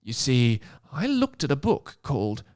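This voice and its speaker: A man voicing an earnest, know-it-all schoolgirl witch who explains magic in a deliberate, emphatic way for a comic story reading. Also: medium pitch, huge pitch swings, a little mellow. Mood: earnest, explanatory.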